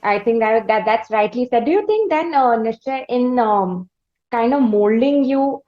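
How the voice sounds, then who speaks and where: A woman hosting an interview, phrasing long questions in a measured, careful, conversational way. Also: fairly high pitch, lively intonation, lo-fi sound. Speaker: interviewer, podcast host.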